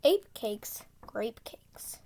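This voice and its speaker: A woman reading a children's tongue-twister book aloud, enunciating carefully and deliberately. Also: dark tone, whispered. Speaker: storybook reader.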